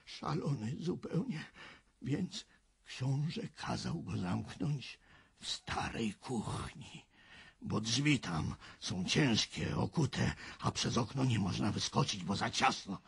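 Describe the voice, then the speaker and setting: An actor playing an agitated, haunted old castle servant in a radio drama, confessing a horror in halting, folksy, dramatic speech with a raspy, creaky voice. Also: male voice, mid-range voice, expressive, exaggerated voice. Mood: grave, troubled.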